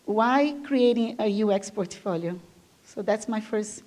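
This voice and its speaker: A woman from Brazil, a native Portuguese speaker, speaks to a live audience at a design event. She talks with enthusiasm in a chatty, loose way, often leaning on fillers, at a medium pitch. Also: unhurried, a little mellow. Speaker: guest speaker. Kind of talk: conference talk.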